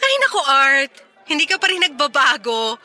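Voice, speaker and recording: A woman's voice: sits high, expressive, moderate pace, bright, forceful tone, faint music behind, exaggerated voice. An actress playing a wistful young woman in a Tagalog radio drama, teasing and conversational as she talks with an old flame. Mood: playful, coy. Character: Mela, wistful young woman reunited with her ex.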